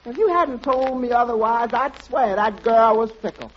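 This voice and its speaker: A man's voice: extremely high pitch, normal speed. A man playing a wry, skeptical tavern waiter who wisecracks in a vintage radio comedy. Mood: sly. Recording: muffled, noisy old radio recording.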